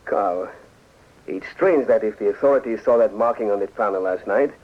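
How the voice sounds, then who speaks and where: An actor playing a scheming, formal professor villain in a 1940s radio drama. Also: male voice, fairly low pitch, normal speed, natural voice. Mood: accusing. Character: scheming male foreign professor, spy villain. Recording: tinny, noisy 1940s radio recording.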